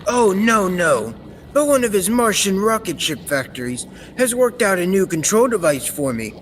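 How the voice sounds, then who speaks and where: A man playing a kindly, absorbed scientist of the future in old-time radio serial style, theatrical and deliberate. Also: high voice, sing-song, fairly bright tone. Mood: busy, genial. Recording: reverberant, noisy stage-like recording.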